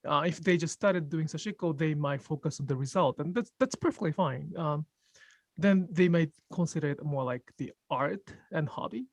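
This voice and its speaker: A man with a Japanese accent, a native Japanese speaker, talking about stitching in a casual group conversation, pausing to find words and often laughing. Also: on the high side, no room sound. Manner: thoughtful, pauses to search for English words, laughs often. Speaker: sashiko teacher.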